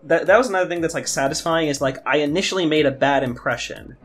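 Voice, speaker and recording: A young man in casual podcast chat as a co-host, talking in a halting way and often rephrasing as he goes. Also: on the high side, a bit bright. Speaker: podcast co-host, game designer. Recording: music behind the voice. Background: background music.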